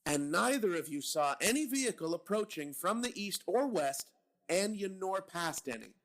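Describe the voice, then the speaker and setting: An actor playing a gruff, suspicious police captain in a radio drama, blunt and sarcastic as he grills his men. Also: high voice, animated, normal speed, a bit bright. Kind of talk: old-time radio drama reading.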